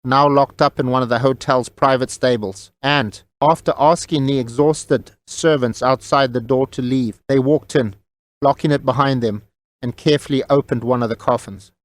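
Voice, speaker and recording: Male audiobook narrator, mid-pitched, scripted storytelling delivery, close and dry recording. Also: steady tone, normal speed, no echo.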